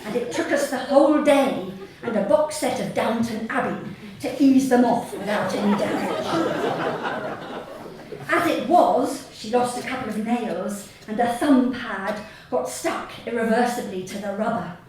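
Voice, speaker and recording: A woman with a southern English accent reads her humorous short story live on stage, deadpan and measured, with pauses. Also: fairly high pitch, a crowd in the background. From Sussex, England. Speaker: author reading own short story. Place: small theatre stage before an audience. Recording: very echoey hall, distant mic, faint noise.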